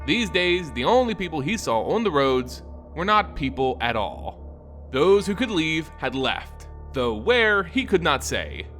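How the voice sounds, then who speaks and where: A man narrates dark fantasy fiction in a slightly high voice that rises and falls widely. His delivery is solemn and theatrical, with deliberately placed phrases. Faint music runs behind him. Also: very animated. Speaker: story narrator. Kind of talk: dramatic scripted fantasy narration.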